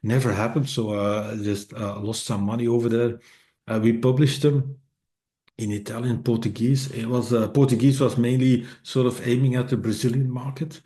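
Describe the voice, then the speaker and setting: A man's voice: mid-range voice, fairly even intonation, normal speed, mellow. A middle-aged man whose first language is Dutch gives a casual presentation to an online meetup in English, hesitant and full of fillers, with a wry self-deprecating streak. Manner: hesitant, many fillers and restarts. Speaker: author and speaker.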